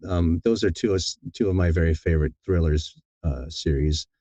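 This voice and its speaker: A man with a deep, dark voice chats casually as an interview guest. He rambles and corrects himself, sounding keen on what he does. The recording is dry and a little dull. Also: a little fast, dry recording. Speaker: audiobook narrator being interviewed.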